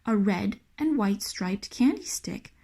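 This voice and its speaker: A woman narrating a children's picture book in an expressive read-aloud style. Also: medium pitch, slow. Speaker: storybook reader.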